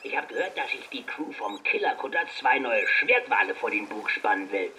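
An actor playing a swaggering, boastful pirate being interviewed in a children's radio play. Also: male voice, mid-range voice, animated, moderate pace, sharp tone, slight background noise. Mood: cocky. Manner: boastful, cocky.

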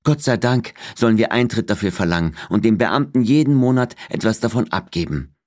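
Man voicing a higher-pitched, resentful young Turkish woman guide. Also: expressive, a little fast, no room sound, clean studio sound, natural voice. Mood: aggrieved. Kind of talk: audiobook character dialogue.

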